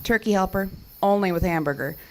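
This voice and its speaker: An actress playing a wry, direct, sharp-witted woman technician out in the woods in an audio drama. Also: mid-range voice, brisk, slight background noise, natural voice, poor audio. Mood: casual, amused. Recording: night crickets and insects behind voice. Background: crickets and insect ambience.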